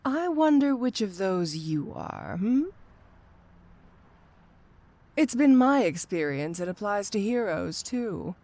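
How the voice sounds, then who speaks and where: A woman's voice: mid-range voice, big pitch swings, measured pace, mellow. A woman playing a flirty, sly supervillain cat burglar in an intimate ASMR roleplay, with a coy, drawling, playfully condescending delivery. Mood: sly, smug.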